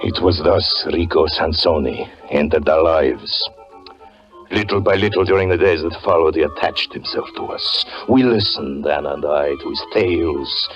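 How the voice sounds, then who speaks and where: An actor playing a tormented, jealous married stage magician, narrating his downfall in theatrical 1940s radio style. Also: a bass voice. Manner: brooding, theatrical, melodramatic. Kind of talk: 1940s radio acting, dramatic first-person narration. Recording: muffled 1940s radio recording with music.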